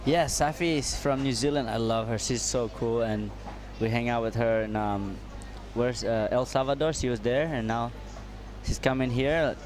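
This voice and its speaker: A man co-commentating a surf contest in halting, friendly English, drawing on his own surfing. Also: mid-range voice, steady tone, darkish tone. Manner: halting, friendly, laughs easily. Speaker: co-commentator and surfer. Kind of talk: live sports commentary. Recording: noisy outdoor ambience with traffic.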